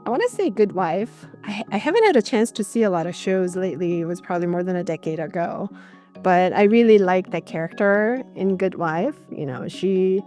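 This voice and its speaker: A middle-aged woman speaks in a slightly low register, answering interview questions in a conversational, candid way. She sounds reflective, like an executive talking through experience, with music playing underneath. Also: warm tone. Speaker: interview guest, corporate legal executive.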